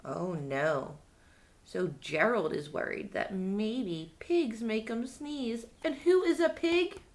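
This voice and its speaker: A woman's voice: fairly high pitch, lively intonation, slow pace. A woman reading a picture book to young children, asking simple questions and answering them herself. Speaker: children's read-aloud storyteller.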